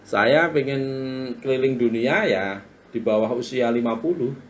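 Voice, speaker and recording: A man's voice: medium pitch, measured pace, slight background noise. Chatty man in his forties coaching live. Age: 40s. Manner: reads viewer comments aloud, chatty and motivational. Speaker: live-stream business coach. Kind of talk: live-stream book talk.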